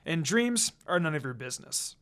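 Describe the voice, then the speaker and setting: A reader voicing a lazy, aloof male ninja teacher in a fan story reading, delivering his lines in a flat, offhand way. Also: medium pitch, moderate pace.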